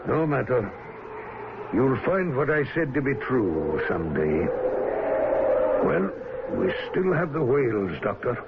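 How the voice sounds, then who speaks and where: An actor playing a commanding, enigmatic ship's captain in a vintage radio drama, with an emphatic, theatrical delivery. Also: male voice, medium pitch, very animated, takes it slowly, long pauses. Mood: brooding. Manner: theatrical, emphatic, speaking with authority. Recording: tinny, very noisy old radio broadcast.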